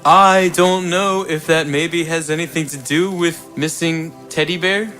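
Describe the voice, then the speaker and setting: A man's voice: on the high side, fairly even intonation, a little slow, pushed and bright, faint music behind. A man playing a concerned, tattling toy in an improvised comedy audio drama.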